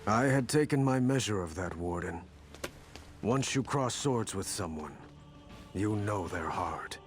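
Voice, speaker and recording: A low-voiced, solemn warrior. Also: male voice, lively intonation, unhurried. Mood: knowing, measured. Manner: grave, terse, reflective. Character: solemn, determined male warrior recounting his battles. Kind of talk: dramatic video game narration. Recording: loud music behind the voice. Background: loud music.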